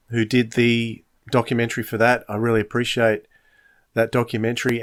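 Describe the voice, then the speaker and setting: A man with an Australian accent, talking casually as the host of a podcast. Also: medium pitch.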